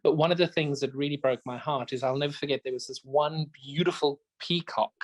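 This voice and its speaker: A man's voice: fairly high pitch, steady tone, a little fast. A man from Zimbabwe co-hosting a podcast, chatting in an effusive, storytelling way. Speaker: podcast co-host. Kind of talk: casual chat.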